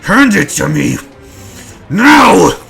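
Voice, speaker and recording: A slow, gravelly supervillain conqueror, acted out by a man, cold and theatrical with a temper. He speaks with deliberate menace in a comic-book drama, music playing behind him. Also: high voice, very animated, a bit bright, heavily acted. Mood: furious. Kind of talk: amateur dramatic reading of comic-book villain.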